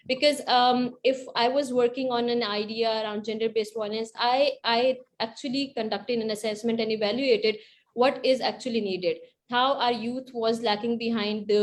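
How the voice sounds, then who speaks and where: Female panelist, Pakistani accent, slightly high voice, earnest, emphatic explainer of practical advice. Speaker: panelist, project grantee.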